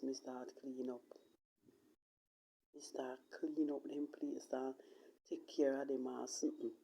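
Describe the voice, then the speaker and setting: Husky low-key Jamaican woman, creaky voice. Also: dark tone, muffled recording. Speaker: vlogger telling own life story. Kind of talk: personal storytelling in casual patois.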